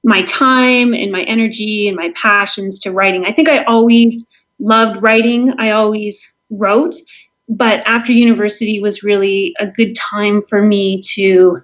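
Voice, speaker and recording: A woman's voice: medium pitch, steady tone, a little slow, a bit bright, lo-fi sound. A woman from Southern Ontario with a Canadian accent, answering interview questions as an author in an earnest, enthusiastic, conversational way.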